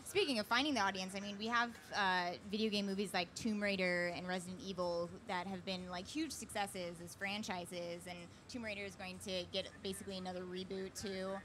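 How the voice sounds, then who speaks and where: Female panel moderator, average pitch. Casual, informal interviewer with "like"-heavy phrasing. Slight background noise of a live event. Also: fairly even intonation, fairly quick, few pauses, a bit bright. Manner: informal, peppers talk with "like". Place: fan convention panel.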